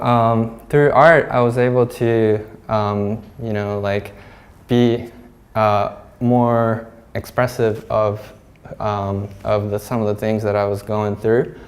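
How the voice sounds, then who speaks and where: Soft-pitched Japanese man giving a halting gallery talk. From Hong Kong. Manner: halting, many fillers and restarts. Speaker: glass artist.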